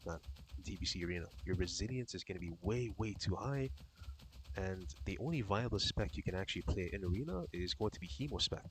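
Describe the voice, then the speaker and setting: Slightly raspy low-voiced male streamer. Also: a little hiss or hum, rough recording. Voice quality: relaxed, rambling, swears casually. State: slightly raspy from being sick. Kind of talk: casual game stream chat.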